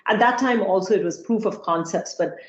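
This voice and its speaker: A woman's voice: medium pitch, brisk. A woman from Singapore speaking as a panel guest, thoughtful, with many fillers and restarts. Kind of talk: panel discussion. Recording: echoey, slightly dull sound.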